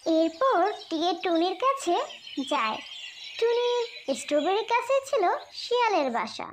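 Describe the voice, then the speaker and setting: Very high-pitched woman telling a children's story. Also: big pitch swings, measured pace, a little hiss or hum. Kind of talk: scripted children's cartoon narration. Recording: loud music behind the voice.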